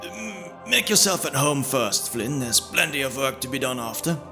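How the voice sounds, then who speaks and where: A man's voice: medium pitch, animated, moderate pace, pitched above the performer's own voice, lightly acted. A man voicing a worried, kindly monk healer in a fantasy audio drama, speaking earnestly and with urgency. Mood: apologetic, worried. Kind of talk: audio drama character acting. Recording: music bed under the voice.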